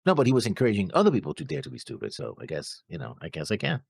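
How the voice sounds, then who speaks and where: Male co-host, lowish husky voice with vocal fry, swinging pitch, halting casual banter. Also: wildly swooping pitch, dry recording, clean studio sound. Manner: halting, rambling, trailing off. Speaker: co-host of an anime reaction show.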